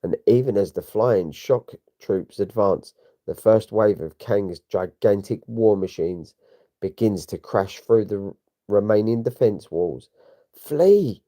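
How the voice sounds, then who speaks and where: A man's voice: lowish voice, measured pace, warm tone. A man giving a theatrical, grandiose scripted narration of a comic-book story. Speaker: narrator of a comic read-along.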